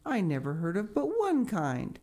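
A woman's voice: mid-range voice, very animated, moderate pace, darkish tone, voice pushed up. A woman reading aloud and voicing a frank, cheerful young girl who laughs easily and can be a bit prim. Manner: frank, laughing, slightly rebuking. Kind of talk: audiobook character voice.

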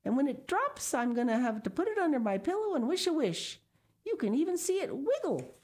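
A woman voicing a small, curious and eager girl in a high, excited child voice. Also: a little slow.

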